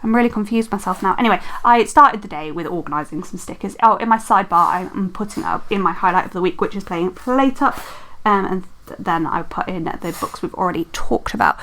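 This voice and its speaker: A woman's voice: medium pitch, brisk, a bit bright, rough recording. A young woman chatting casually in a voice-over, rambling with lots of ums and corrections, her throat a little dry. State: slightly dry throat from dehydration. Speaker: planner vlogger. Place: quiet home room.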